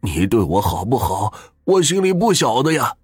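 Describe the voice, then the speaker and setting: A man playing a shouting, fierce old husband. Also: mid-range voice, big pitch swings, normal speed, slightly rough, dry recording, clean studio sound, voice pushed up. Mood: grieving. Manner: shouting, cursing. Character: fierce old husband defending his dying wife.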